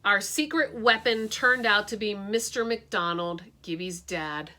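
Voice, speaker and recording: A woman teacher reading a picture book aloud, expressive and dramatic, shifting her tone for suspense. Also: medium pitch, unhurried.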